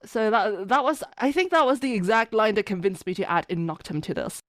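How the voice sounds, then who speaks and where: A woman chatting casually as a podcast guest, telling stories in an animated way and acting out quotes. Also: mid-range voice, fairly quick, no echo, clean studio sound. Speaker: podcast guest, fan musician and writer. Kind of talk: casual chat.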